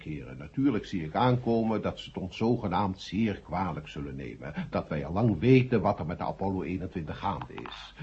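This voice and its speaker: A man in a radio play acting a decisive, authoritative council chairman with a husky voice. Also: low voice, darkish tone, slight background noise. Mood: firm, measured. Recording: dull old radio play recording.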